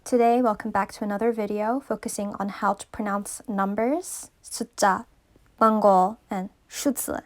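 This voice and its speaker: A woman whose first language is English, slowly teaching number pronunciation and repeating each word carefully. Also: mid-range voice, fairly even intonation. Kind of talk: slow pronunciation lesson.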